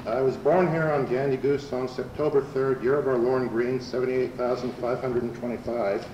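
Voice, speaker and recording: A man's voice: mid-range voice, steady tone, normal speed, darkish tone, distant mic, muffled recording, close to an everyday voice. A man playing a mild, earnest bovine space adventurer in an amateur radio comedy, speaking plainly and matter-of-factly. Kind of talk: amateur old-time radio comedy acting. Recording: roomy amateur recording, faint noise.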